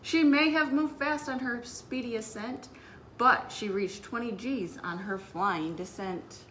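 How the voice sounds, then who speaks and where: Bright-voiced woman hosting children's story time. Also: on the high side, unhurried, a little hiss or hum. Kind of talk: children's story time, announcements and read-aloud.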